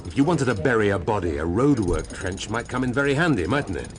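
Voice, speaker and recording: An actor playing a dry, sardonic middle-aged police inspector in a radio drama. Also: male voice, on the low side, lively intonation, a little fast, crowd noise, natural voice. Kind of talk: BBC radio drama acting.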